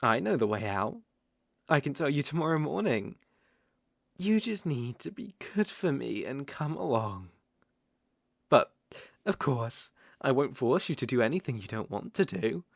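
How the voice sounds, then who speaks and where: A man voicing a coaxing, possessive naga monster in a roleplay ASMR audio drama, with a creaky, teasing delivery. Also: mid-range voice, sing-song, no echo, studio quality, full character voice. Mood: coaxing, ominous. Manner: slow, coaxing, teasing with soft chuckles. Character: smooth-talking male naga monster, coaxing, possessive predator.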